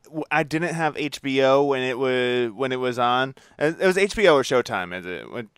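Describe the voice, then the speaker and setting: Male podcast host, medium pitch, crisp smooth tone, conversational and agreeable, dry recording. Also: lively intonation, moderate pace, fairly bright tone, no echo. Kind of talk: casual interview chat.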